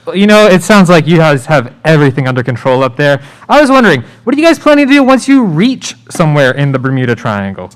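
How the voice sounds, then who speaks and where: A man's voice: a little high, sing-song, fast, few pauses, clean tone. A man playing a breezy, oblivious radio show host, chatty and casual, in a live comedy radio play.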